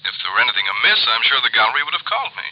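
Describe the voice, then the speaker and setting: A man's voice: mid-range voice, very animated, very fast. An actor playing a mild, proper, easily flustered insurance company man with an American accent, in 1950s radio style. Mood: explaining, mild. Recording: muffled vintage radio recording.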